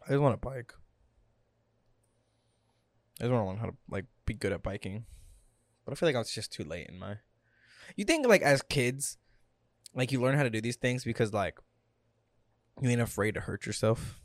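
A young man chats casually on his home podcast, loose and slangy, with a middle-pitched voice. He sits close to a desk mic in a small room, and the sound is dry with no echo. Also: normal speed, long pauses. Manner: laid-back slangy talk, drifts louder when excited. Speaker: podcast co-host. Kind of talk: casual chat.

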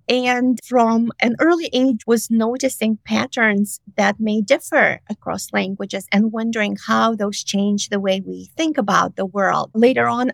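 A middle-aged woman scholar whose first language is Romanian, answering interview questions in a reflective, measured way. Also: a little high, gravelly, no room sound. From Romanian and Russian-speaking upbringing. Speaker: guest scholar.

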